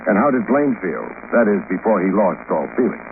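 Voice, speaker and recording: Low-voiced hardboiled radio detective. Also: male voice, brisk. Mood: sardonic. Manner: deadpan, sardonic, probing. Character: dry, wisecracking hardboiled male private investigator. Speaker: detective lead and narrator. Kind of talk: 1950s radio detective narration and dialogue. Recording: noisy, tinny old radio recording.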